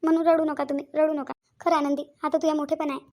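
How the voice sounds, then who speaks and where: A woman's voice: sits high, level tone, fast talker, a little mellow, close to an everyday voice. A woman playing a tender, earnest young married village woman in a family drama, with a Varhadi Marathi accent and an emotive, pleading way of repeating phrases. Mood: tender, consoling. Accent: Varhadi Marathi from Vidarbha. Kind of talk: amateur family drama acting.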